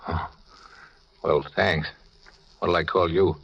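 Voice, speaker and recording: Wary framed fugitive, American accent, fairly deep, clipped colloquial talk, old-time radio thriller acting, dull vintage sound. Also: male voice, steady tone, takes it slowly, vocal fry, husky, full character voice. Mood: puzzled. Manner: hard-boiled, terse, colloquial. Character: hunted American man, framed for murder, wary and desperate.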